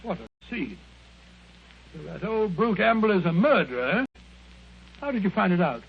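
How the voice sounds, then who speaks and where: Gravelly british gent sidekick, bluff, easily amazed, highish voice, muffled old radio. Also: male voice, sing-song, normal speed, heavily acted. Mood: astonished, curious. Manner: bluff, exclamatory. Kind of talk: mid-century radio drama acting. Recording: dull, noisy old radio recording.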